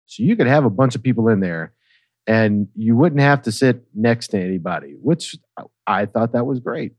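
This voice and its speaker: A man's voice: medium pitch, animated, normal speed, darkish tone, no echo. A man telling a comedic personal story in a casual, sweary, conversational way, often checking in with his listeners.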